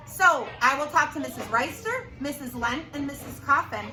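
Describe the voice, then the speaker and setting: Animated, high-voiced woman teacher, echoey room. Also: normal speed, recorded from a distance. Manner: expressive, prompting questions to children. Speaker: teacher reading aloud. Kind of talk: animated read-aloud to young children. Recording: roomy echo with clear background noise.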